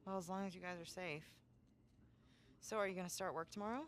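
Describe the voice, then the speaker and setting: A woman voicing a caring wife, concerned, gentle, lowish voice, faint noise behind. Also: fairly even intonation, fast talker, close to an everyday voice. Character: caring adult wife on the phone.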